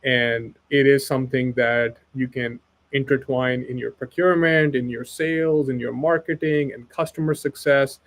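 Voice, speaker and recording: Guy from pakistan on a webinar, earnest, keeps saying right? and like, middle pitch. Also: fairly even intonation, moderate pace. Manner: earnest, persuasive, fillers like "right?" and "like". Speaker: expert guest. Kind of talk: casual webinar conversation.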